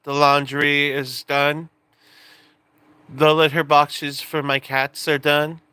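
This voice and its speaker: Young man, late twenties, slow unhurried talk, casual rambling stream chat, jokey. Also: fairly high pitch, little pitch movement, bright, forceful tone, clear, resonant tone, no echo. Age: 20s. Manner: rambling, self-interrupting, joking.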